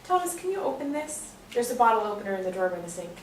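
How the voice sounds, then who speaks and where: An actress playing a sociable, flirty party woman with a fairly high voice in a stage play reading. The sound is distant and echoey, with faint noise. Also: big pitch swings, very fast. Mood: cheerful, coaxing. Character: sociable, flirty woman, former stripper, eager party guest.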